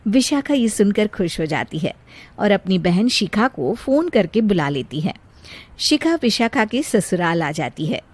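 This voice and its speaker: A woman narrating a cartoon moral story in a calm, plain storybook manner. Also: mid-range voice, measured pace.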